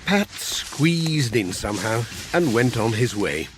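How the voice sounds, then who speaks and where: A man's voice: medium pitch, sing-song, takes it slowly, noisy background. A man narrating a children's audiobook as a scripted storyteller.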